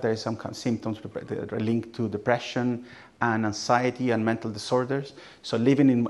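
A man's voice: mid-range voice, a little mellow. A middle-aged man from Chile with a Chilean Spanish accent, a native Spanish speaker, giving a conference presentation in a large hall with frequent fillers and restarts. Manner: hesitant, many restarts and fillers. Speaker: academic speaker.

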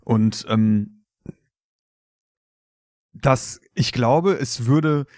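A man co-hosting a podcast in casual chat, halting and often rephrasing as he goes. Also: mid-range voice, a little mellow, dry recording, studio quality. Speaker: podcast co-host.

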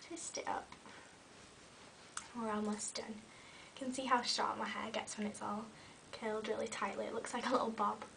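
A young woman in her twenties, recovering from a cold and a bit croaky, chatting casually through a hair tutorial in her bedroom. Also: mid-range voice, level tone, moderate pace, sharp tone, distant mic, a little hiss or hum, poor audio. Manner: chatty, talks while styling hair, many ums. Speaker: beauty vlogger. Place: small bedroom with fairy lights. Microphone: webcam a little way off.

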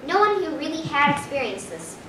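A woman's voice: high voice, sing-song, fairly quick, sharp tone, full character voice. A young female performer playing an imaginative little girl telling grisly tales in a staged reading, theatrically. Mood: grisly storytelling. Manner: theatrical, declaiming. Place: small hall, staged reading before an audience. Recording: roomy distant camera recording, noisy background.

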